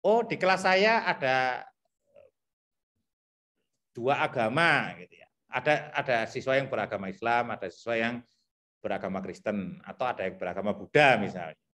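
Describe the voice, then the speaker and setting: A man's voice: medium pitch, lively intonation, normal speed, long pauses, a bit bright. Man with a thick Javanese accent. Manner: repetitive, thinks aloud with many fillers. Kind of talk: explaining teacher competency criteria to colleagues.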